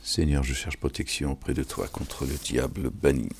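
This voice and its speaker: A man's voice: a bass voice, moderate pace, vocal fry, darkish tone, slight background noise. A man giving an informal, sermon-like reflection on scripture, preaching and addressing his listeners familiarly with frequent check-in questions. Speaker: online religious and political commentator.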